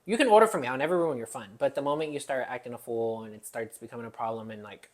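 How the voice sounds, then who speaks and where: Woman, 20s, Texas accent, podcast guest, casual, animated, chatty. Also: a bass voice, fast talker. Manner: chatty, animated, laughs often. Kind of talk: casual chat.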